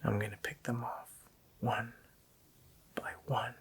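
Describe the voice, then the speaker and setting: A man voicing a sinister, taunting Christmas demon in a slow, hoarse, menacing whisper for an ASMR roleplay. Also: mid-range voice, flat delivery, a bit bright, heavily acted. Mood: cold threatening. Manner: slow, menacing, drawn-out phrasing.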